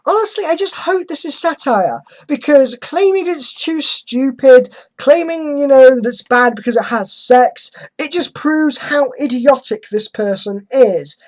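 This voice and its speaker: A woman's voice: sits high, expressive, measured pace, few pauses, low-quality recording. A woman hosting a dramatic reading, commenting with sarcastic, exasperated emphasis. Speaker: host reading an article aloud with commentary.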